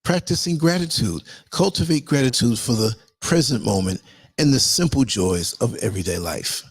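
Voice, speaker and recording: A hoarse man hosting a livestream, reading a list of tips and then rambling casually to his viewers. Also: medium pitch, animated, moderate pace, dry recording. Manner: rambling, chatty, addresses viewers as y'all. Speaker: livestream host.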